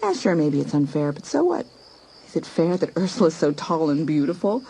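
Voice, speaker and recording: A woman's voice: low-pitched, sing-song, a little fast, creaky, mellow, a little hiss or hum, exaggerated voice. A woman playing a scheming, competitive, jealous teenage girl in a dramatised audiobook, talking to herself in theatrical exclamations. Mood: scheming, defiant. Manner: theatrical, exclamatory self-talk. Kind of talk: dramatised audiobook acting, thinking aloud.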